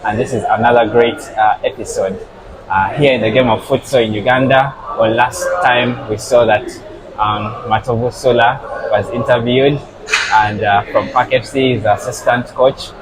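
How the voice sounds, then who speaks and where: A man's voice: medium pitch, fairly even intonation, moderate pace, heavy background noise. A man hosting an interview, asking questions and giving short affirming interjections. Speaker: host.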